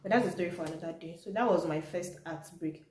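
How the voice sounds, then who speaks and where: A woman's voice: lowish voice, fast talker, a little mellow. A young Nigerian woman, a native Yoruba speaker, vlogging in a casual, chatty storytelling way to her viewers. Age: young adult. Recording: echoey room.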